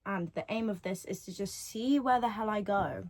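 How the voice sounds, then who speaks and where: A woman's voice: mid-range voice, moderate pace. Rambling chatty young woman, eighteen. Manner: rambling and chatty, repeats her own phrases. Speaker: podcast host. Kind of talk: solo podcast monologue. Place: at home. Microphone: device mic, no podcast microphone.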